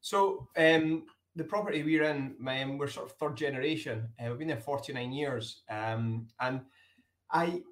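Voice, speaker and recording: A man's voice: medium pitch, a little slow. Hesitant Scottish man. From Scotland. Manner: hesitant, many ums and restarts. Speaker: hotel owner, guest. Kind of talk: casual interview answers.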